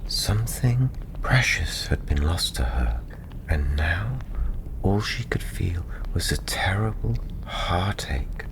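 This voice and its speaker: A very low-voiced man tells a fantasy tale very slowly, in a soft, breathy near-whisper. His delivery is gentle and soothing, with long pauses between phrases. Clear ambient noise sits behind the voice. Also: animated. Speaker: storyteller. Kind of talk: soft-spoken ASMR fantasy storytelling.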